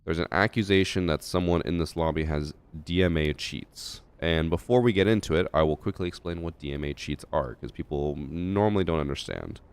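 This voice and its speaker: A man's voice: very deep voice, brisk, hardly any pauses, smooth. A young man streaming a shooter game, chatting casually with his chat and thinking aloud with fillers, a little stuffy from a head cold.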